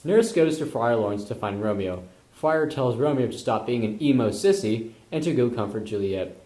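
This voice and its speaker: Male narrator for comic puppet tale: mellow, mid-pitched, slangy scripted delivery, echoey room. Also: expressive, moderate pace.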